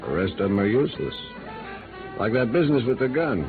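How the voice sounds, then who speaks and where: An actor playing a disillusioned, hard-drinking American newspaper reporter in 1950s radio noir, sardonic, bitter and world-weary. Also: male voice, medium pitch, sing-song, a little fast, narrow, tinny audio, close to an everyday voice. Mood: cynical. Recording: band-limited old radio recording with music.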